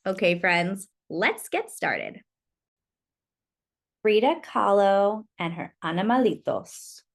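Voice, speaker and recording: A woman's voice: mid-range voice, sing-song, takes it slowly. A woman reading a picture book aloud to young children, expressively and with little asides to her listeners.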